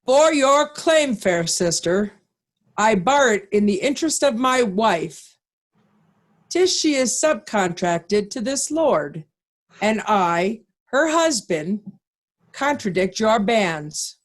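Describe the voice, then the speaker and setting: A woman playing a stern, righteous duke in Shakespearean reader's theatre, with a measured, formal and commanding delivery. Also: medium pitch, sing-song. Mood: accusing, resolute. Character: stern, righteous Duke of Albany, nobleman commanding victorious army.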